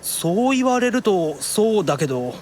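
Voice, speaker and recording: Lively, youthful demon little brother. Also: male voice, sits high, moderate pace, slight background noise. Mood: curious. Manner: expressive, casual, reactive. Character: youthful, excitable, easily surprised demon younger brother. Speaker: voice actor. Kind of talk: anime audio drama dialogue.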